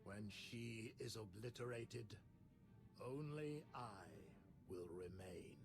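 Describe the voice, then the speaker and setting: A man's voice: lowish voice, slow. A man playing a megalomaniac Sith tyrant in a video game, speaking with contempt and menace. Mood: menacing. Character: scornful male Sith tyrant, megalomaniac and threatening. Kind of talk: dramatic video game voice acting. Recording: loud music under the voice.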